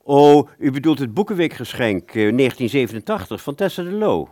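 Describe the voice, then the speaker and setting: A man's voice: mid-range voice, sing-song, fairly quick, warm tone, close to an everyday voice. An actor playing a courteous but pedantic and evasive bookshop salesman in a radio play, fussy and patronizing in manner. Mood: defensive, explaining.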